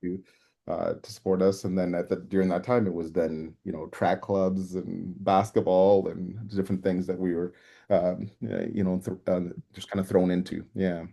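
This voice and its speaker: A man's voice: deep voice, flat delivery, normal speed, creaky, dark tone. A man raised in East Africa and Canada, a native speaker of a Ugandan language, reflecting casually as an interview guest in circling phrases. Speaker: podcast guest, track coach.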